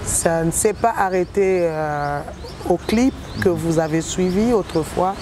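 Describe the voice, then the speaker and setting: A woman in her forties with a Congolese French accent, a musician answering interview questions in a measured, hesitant way, pausing often between short phrases. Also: on the low side. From Republic of the Congo. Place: outdoors in a city. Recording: noisy outdoor street with birds and traffic. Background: birds and passing vehicles.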